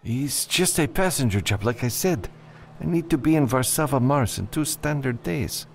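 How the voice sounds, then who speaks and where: A man acts out a nervous, evasive little fellow from an audiobook. He hesitates and stammers, and his voice is lifted far above the reader's usual rumble. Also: animated, normal speed, vocal fry. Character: nervous, evasive short round male client, Spanish-speaking.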